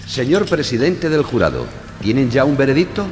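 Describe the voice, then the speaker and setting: An actor playing a stern, authoritative judge in an audio drama, formal and commanding. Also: male voice, mid-range voice, big pitch swings, moderate pace, natural voice. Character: stern, commanding male judge passing sentence. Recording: long hall reverb, loud music behind.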